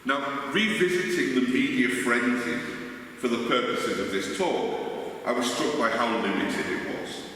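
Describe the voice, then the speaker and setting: A man with a Liverpool English accent giving an academic conference lecture, speaking deliberately and emphatically with wry asides. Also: medium pitch, pushed and bright. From Liverpool, England. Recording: echoey hall, distant mic, faint noise.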